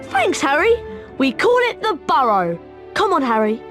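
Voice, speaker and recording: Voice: sits high, huge pitch swings, moderate pace, a bit bright, faint music behind, full character voice. An actor playing a friendly, eager young British boy wizard who guides a friend in a video game. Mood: friendly, instructive.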